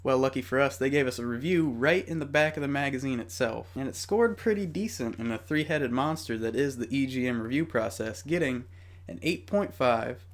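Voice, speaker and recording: A man hosting a video series, reading a scripted retrospective in a wry, casually joking way. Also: mid-range voice. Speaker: video series host and narrator. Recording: music bed under voice. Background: background music.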